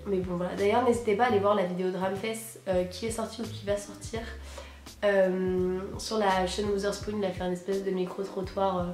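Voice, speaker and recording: A young woman, hoarse from a cold, chatting casually and slangily to camera as an enthusiastic vlogger. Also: mid-range voice, darkish tone. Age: teens to young adult, high school student. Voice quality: hoarse, husky. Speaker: sneaker vlogger presenting purchases. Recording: roomy echo, music behind.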